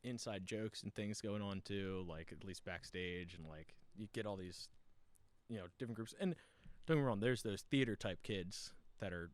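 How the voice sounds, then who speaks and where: A man hosting a casual podcast chat, easygoing and quick to laugh, with lots of fillers. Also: lowish voice, dry recording. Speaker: podcast host.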